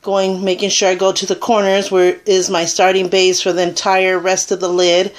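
A woman's voice: lowish voice, monotone, moderate pace. A Puerto Rican woman in her thirties, chatting her way through a casual makeup tutorial into a webcam in a small room, with plenty of ums. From Puerto Rico. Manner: chatty, rambling, filler-heavy. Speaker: beauty vlogger. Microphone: webcam at arm's length.

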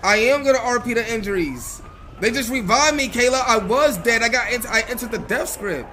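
A man's voice: very high-pitched, fairly quick, pushed and bright, a little hiss or hum. A man livestreaming a roleplay game with heated, slangy commentary, swearing and repeating himself in disbelief.

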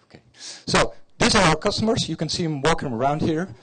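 A man's voice: fairly high pitch, lively intonation, a little fast, fairly bright tone, slight background noise, rough recording. A man closing a short slide talk at a conference in a casual way, with joking asides. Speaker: conference speaker. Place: conference hall.

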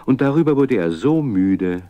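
A man's voice: mid-range voice, lively intonation, normal speed, no echo, dull audio, close to an everyday voice. A man narrating a children's radio play in a scripted storytelling style, as its storybook narrator.

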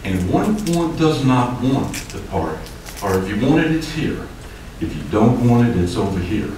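A man's voice: medium pitch, big pitch swings, moderate pace, a little mellow, slight background noise. An older man speaking at a city hearing podium as a developer giving public comment, emphatic and growing heated. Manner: emphatic, gesturing, rising heat. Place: city commission chamber, indoors. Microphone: podium microphone. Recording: echoey hall, distant mic.

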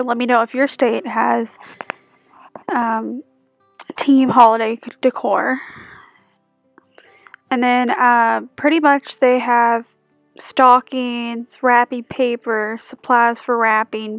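A woman's voice: on the high side, flat delivery, slow, no room sound. A young woman narrating a casual shopping vlog, chatting with viewers and asking them questions. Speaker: vlogger. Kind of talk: casual shop-with-me voice-over. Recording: dull dry sound under music.

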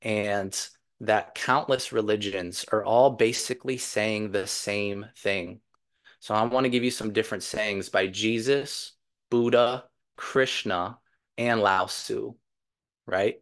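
Lower-pitched man giving spiritual teaching. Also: fairly even intonation. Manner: earnest, deliberate, emphatic repetition. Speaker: guest spiritual speaker. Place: indoors, seated before a virtual backdrop.

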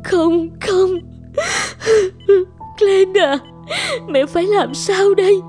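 Devoted cartoon mother, mellow voice. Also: very high voice, very slow, soft background music, heavily acted. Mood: distraught. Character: devoted, emotional mother of a young boy. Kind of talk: Vietnamese cartoon voice acting.

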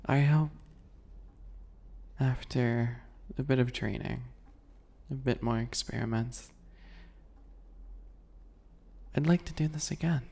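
A man voicing a sweet-spoken, obsessive male bunny predator in an ASMR roleplay, soft, breathy and teasing, often whispering. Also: mid-range voice. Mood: teasing menace. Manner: slow, teasing, giggly, menacing.

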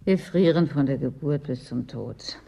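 A woman's voice: very deep voice, moderate pace, vocal fry, warm tone, breathy edge, heavily acted. An actress in a German radio play, playing a weary, plaintive woman who is always cold and broods on her memories. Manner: brooding, plaintive, lapsing into reminiscence. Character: weary, always-freezing woman property owner, plaintive and wistful.

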